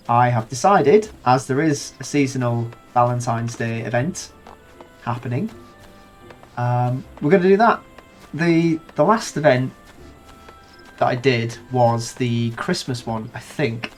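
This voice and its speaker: A man casually commenting on a game playthrough with a wry, self-deprecating humor. His middle-pitched voice is nasal and a bit subdued from a bad cold. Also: a little slow, soft background music. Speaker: gaming video host. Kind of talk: casual let's-play commentary.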